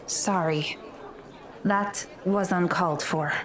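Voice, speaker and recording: A woman's voice: fairly low pitch, fairly even intonation, slow pace, fairly bright tone, a faint crowd. A woman playing a blunt, touchy teenage schoolgirl in an audio drama, with a dry, cutting delivery. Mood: tense, subdued.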